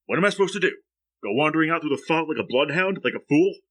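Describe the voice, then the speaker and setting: A man's voice: a little high, expressive, quick pace, a bit bright. Man playing a blustering, irritable radio drama husband. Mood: blustering, exasperated. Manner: scolding, impatient, sputtering. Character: irritable, blustering middle-aged man, obsessed with his plants. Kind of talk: 1940s radio drama acting.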